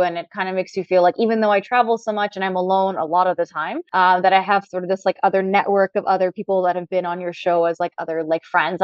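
Woman podcast guest, quick chatty delivery, dry muffled recording. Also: mid-range voice, no room sound. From Trinidad, raised in Canada. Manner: chatty, lots of "like" fillers. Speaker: cannabis scientist guest. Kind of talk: casual podcast interview.

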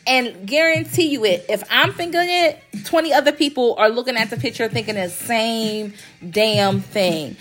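Woman, stuffy congested voice, slightly high pitch, casual podcast chat, emphatic repeats, faint background music. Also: a bit bright. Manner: chatty and emphatic, repeats phrases, quick asides. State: congested, recovering from illness. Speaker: podcast host, graphic design company owner. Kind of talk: casual solo podcast talk.

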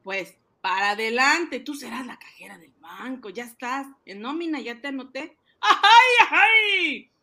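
A man voicing an excitable, boastful ice-cream seller who fancies himself a banker, cajoling and exclaiming in a comic radio drama. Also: very high-pitched, unhurried, few pauses, pitched above the performer's own voice, heavily acted. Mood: boastful, excited.

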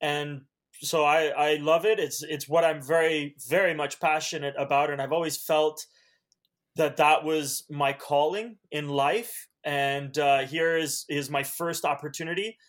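A man who grew up in Canada with Hungarian as his first language, speaking as an evangelist in an earnest, halting way with many restarts. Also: on the high side, steady tone. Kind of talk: podcast interview.

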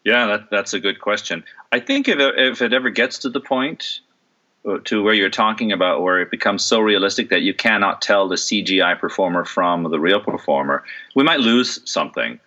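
A man's voice: fairly low pitch, moderate pace, sharp tone, lo-fi sound. A middle-aged man who works as a voice and stage actor, talking through an interview in a thoughtful way with many fillers and restarts. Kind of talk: relaxed interview conversation.

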